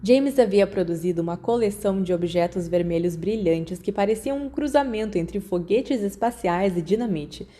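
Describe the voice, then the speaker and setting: A woman's voice: medium pitch, expressive, normal speed, hardly any pauses, warm tone. A woman with a Brazilian accent reading a scripted story as an audiobook narrator.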